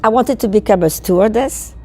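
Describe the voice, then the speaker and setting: A woman in her eighties from Belgium, with a Belgian French accent, speaking English as a second language and recalling her childhood in a halting way that repeats words. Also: mid-range voice, warm tone, soft background music. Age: 80s. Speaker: guest. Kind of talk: recounting childhood memories.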